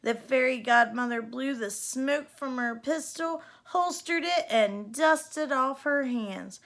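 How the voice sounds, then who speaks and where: A woman reading a children's story aloud as an expressive narrator. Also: sits high, slow. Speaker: storybook reader.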